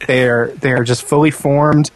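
A man co-hosting a podcast, chatting and joking in a mock-serious deadpan. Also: medium pitch, takes it slowly. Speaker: podcast co-host reading listener questions. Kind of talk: casual joking chat.

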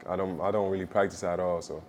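A young man from Belgium whose first language is French, answering interview questions in a relaxed, halting way with many pauses. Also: deep voice, a little hiss or hum. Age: young adult. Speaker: basketball prospect, interviewee.

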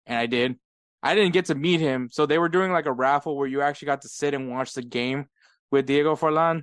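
American man, podcast co-host, animated storyteller, clear voice, dry room. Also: fairly high pitch, fairly quick, no echo. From United States. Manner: laughing often, animated storytelling. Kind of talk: casual chat.

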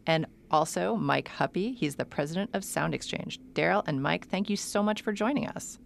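A woman hosting a radio show, reading introductions and announcements in an upbeat, clear way. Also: on the low side, moderate pace, slight background noise.

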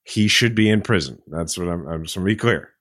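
A man's voice: deep voice, brisk, fairly bright tone, no room sound, studio quality. A man co-hosting a political podcast, speaking casually and emphatically. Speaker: podcast co-host.

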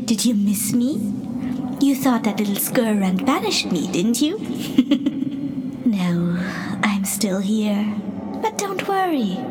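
A woman's voice: mid-range voice, background noise. A woman playing a sinister, playful spirit in an audio drama, teasing and theatrical as she tells fortunes. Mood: taunting, menacing. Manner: taunting, theatrical, slow and deliberate. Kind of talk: audio drama character delivery.

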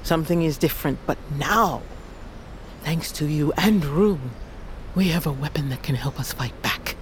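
Actress as low-voiced, solemn old grandmother. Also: a little hiss or hum, lightly acted. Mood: stern, urgent. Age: older. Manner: solemn, deliberate, commanding. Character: fierce, proud old grandmother, poison master of a fantasy tribe. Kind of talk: audio fiction drama acting. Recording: loud music behind the voice.

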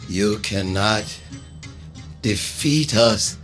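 An actor playing a mindless, menacing zombie man who obeys in a halting trance, in a horror radio drama. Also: lowish voice, fairly bright tone, soft background music. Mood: menacing, entranced. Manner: slow, halting, trance-like delivery. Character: spore-infected zombie man, mindless, menacing, obedient servant.

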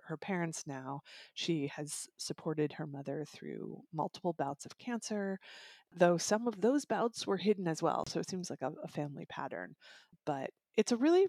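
Female book reviewer, lowish pitch, conversational discussion delivery, very dry recording. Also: darkish tone, no echo. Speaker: audiobook reviewer and podcast guest.

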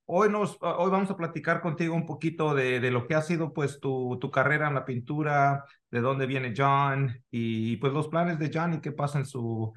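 A man's voice: mid-range voice, moderate pace, hardly any pauses, a bit bright. A man hosting a podcast interview, asking questions with lots of restarts and slipping English words into his Spanish. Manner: hesitant, many fillers and restarts, mixes English into Spanish. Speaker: podcast host. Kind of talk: casual interview chat.

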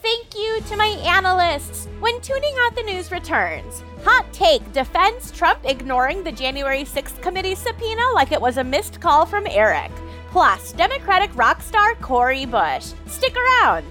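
Self-righteous cartoon news anchor, very high and swooping, emphatic, music underneath. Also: female voice, big pitch swings, moderate pace, sharp tone, full character voice. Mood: upbeat. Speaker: news host. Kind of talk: satirical news commentary.

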